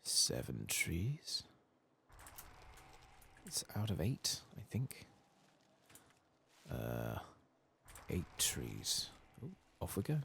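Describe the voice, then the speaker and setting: A man softly narrating a video game playthrough in ASMR style, with dry, sweary asides. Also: deep voice, huge pitch swings, extremely slow, gravelly, long pauses, slight background noise. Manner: dry, sweary asides, reads quest text aloud. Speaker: ASMR gaming streamer.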